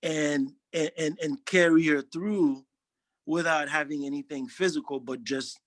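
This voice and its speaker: Man, 40s, earnest, halting, dry close sound. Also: a little high, steady tone, no room sound. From Haiti. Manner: earnest, halting, frequent restarts. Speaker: interviewee, social worker. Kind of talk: reflective testimonial interview.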